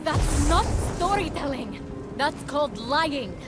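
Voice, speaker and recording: An actress playing an earnest, defiant young heroine and little sister in a dramatic video game cutscene. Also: female voice, sits high, lively intonation, slow. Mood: alarmed, disapproving. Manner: emotional, dramatic. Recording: loud music behind the voice.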